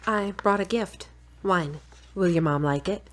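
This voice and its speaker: An actress playing a self-assured, frank, teasing girlfriend in a radio drama, articulate and measured. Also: lowish voice, slight background noise, close to an everyday voice. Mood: conciliatory. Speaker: actor in an audio drama. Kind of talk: scripted radio-play dialogue.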